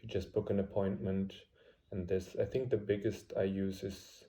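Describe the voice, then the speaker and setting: A man's voice: sits low, level tone, moderate pace, warm tone. A man in his twenties from Germany giving casual answers in an interview. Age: 20s. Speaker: student interviewee.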